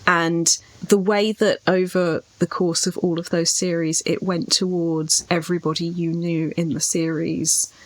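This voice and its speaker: A woman's voice: lowish voice, flat delivery, unhurried, hardly any pauses. A woman in a casual podcast discussion, talking in an enthusiastic and playful way. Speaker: podcast host.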